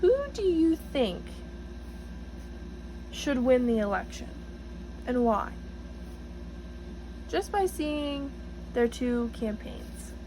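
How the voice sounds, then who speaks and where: A woman reading a picture book aloud to children in an encouraging, teacherly way, pausing to address them directly with questions. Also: sits high, lively intonation, noisy background. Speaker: storybook reader for children, student teacher.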